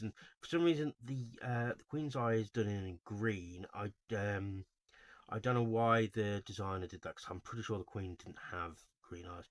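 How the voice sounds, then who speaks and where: A man with a fairly low voice talks informally to his viewers, unscripted. His delivery is halting and rambling, and he often restarts and corrects himself. The sound is dry and close, with little room in it. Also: a bit bright, no echo. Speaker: host of a video series on album cover art. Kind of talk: informal unscripted video commentary.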